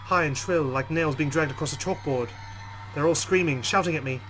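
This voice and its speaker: A man's voice: a little high, fairly quick, a bit bright, soft background music. A man hosting a let's play, reading a visual novel's text aloud dramatically and adding his own commentary.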